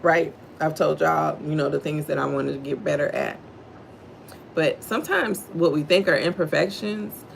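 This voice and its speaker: Deep-voiced Texan woman with a gravelly edge. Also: a little hiss or hum, rough recording. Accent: Southern US, Texan. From Houston, Texas, USA. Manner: talks low and slow, greets viewers by name. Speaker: livestream host. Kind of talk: devotional reading and casual chat with live viewers.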